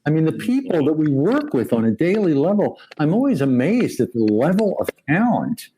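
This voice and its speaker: A man's voice: fairly high pitch, very animated, normal speed, mellow. A man reminiscing casually as a guest, speaking in an earnest and appreciative manner. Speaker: voice actor guest. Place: remote video call. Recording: noisy video call audio with clicks.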